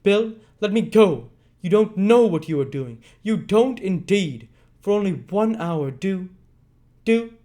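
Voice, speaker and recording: A desperate young woman pleading in a high, strained voice, as a man reads her lines. Her pitch leaps about wildly while she begs to be let go, in a dramatic storybook reading. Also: animated, brisk, darkish tone, close to an everyday voice. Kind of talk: dramatic read-aloud.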